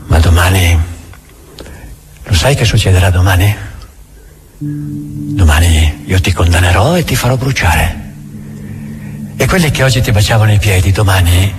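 An actor playing a cynical, accusing inquisitor in a theatrical monologue, halting and full of rhetorical questions, weary and menacing. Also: male voice, sits low. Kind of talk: theatrical monologue, radio drama. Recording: music under the voice. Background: music.

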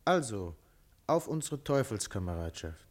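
A man playing a huge, menacing but roguish robber in a German radio play, taunting and swaggering with rough camaraderie. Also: fairly low pitch, very animated, a little slow, darkish tone. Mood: roguish, jovial. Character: huge, menacing male robber, roguishly jovial, armed with club.

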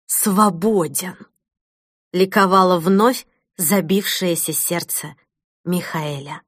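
A woman narrating an audiobook novel in a measured, dramatic and expressive way. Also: mid-range voice, no echo, clean studio sound.

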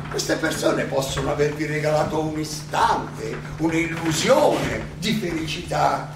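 An actor playing an aging Athenian philosopher who defends himself in a theatrical monologue, ironic and full of rhetorical questions and halting repetitions. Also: male voice, high-pitched, heavily acted. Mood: proud, ironic. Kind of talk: theatrical stage monologue, oratory. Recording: roomy, distant, noisy live stage recording.

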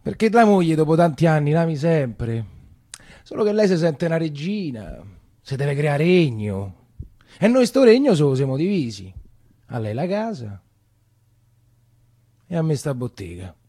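An actor playing a wry, grumbling working-class coffee shopkeeper in a theatrical monologue, speaking colloquially with a Roman accent. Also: male voice, on the high side, big pitch swings, measured pace, pauses often, warm tone, no room sound, studio quality, full character voice. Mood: wry, fond. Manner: colloquial, muttering to himself, exclaiming.

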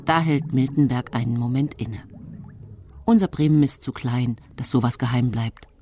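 An actress playing a cool, self-justifying wife who narrates in the first person in a historical radio crime drama, composed and measured. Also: female voice, a bass voice, warm tone, slight background noise.

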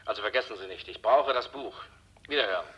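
An actor playing a pushy, self-satisfied male film boss in a 1960s German radio drama, heard through a telephone filter. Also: fairly low pitch. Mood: bossy, jovial. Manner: brisk, bossy. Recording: dull, noisy telephone-line sound.

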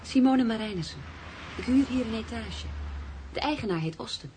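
A woman playing a polite, composed young woman in radio drama dialogue, with a breathy voice. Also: a little high, expressive, normal speed, a little mellow, slight background noise, lightly acted. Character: polite, composed young single woman answering her door.

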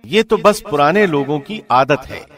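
A man narrating a scripture translation in a formal, measured, solemn announcer's delivery. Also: fairly high pitch, expressive, a bit bright, soft background music.

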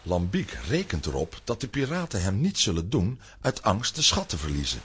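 A man's voice: fairly low pitch, huge pitch swings, moderate pace, fairly bright tone, slight background noise. A man narrating a children's radio play in a scripted, dramatic storytelling style.

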